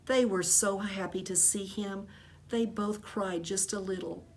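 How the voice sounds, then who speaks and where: A woman reading a children's book aloud in expressive storybook narration, like a teacher. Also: medium pitch, a little slow, a little hiss or hum.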